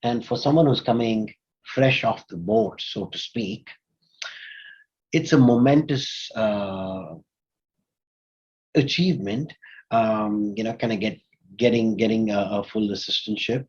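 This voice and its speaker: A man's voice: on the low side, unhurried, pauses often, breathy edge, highs cut off. A man in his forties from India, a company CEO, talking in a casual interview with many fillers and restarts. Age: 40s.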